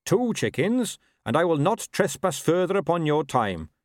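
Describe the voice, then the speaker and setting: A man voicing a polite, formal horseman with calm menace, in a storybook reading. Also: fairly high pitch, moderate pace, no echo, studio quality, voice pushed up. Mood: weary, polite. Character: courteous, calmly menacing young horseman, earnest and deliberate.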